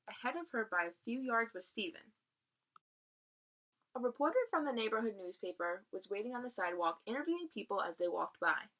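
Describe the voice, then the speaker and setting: A woman reading a children's novel aloud and pausing to explain it in a casual way. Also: medium pitch, pushed and bright, rough recording. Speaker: reader of a children's novel read aloud, explaining as a teacher would. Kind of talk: read-aloud narration with casual explanatory asides.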